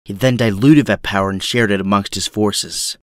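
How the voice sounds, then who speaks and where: A man's voice: fairly low pitch, fairly even intonation, normal speed, no room sound, clean studio sound, lightly acted. A man playing an older, weary but principled resistance captain in an audio drama, grave and commanding.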